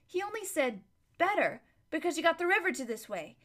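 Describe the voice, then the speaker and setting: A woman's voice: very high voice, sing-song, a little fast, a bit bright, pitched above the performer's own voice, heavily acted. A woman voicing an earnest young boy who carefully explains himself.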